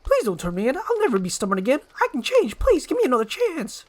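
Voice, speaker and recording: A man voicing an excitable, boastful talking donkey with a brash, chattering manner in a storybook reading. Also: extremely high pitch, fast talker, pitched above the performer's own voice, full character voice. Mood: pleading.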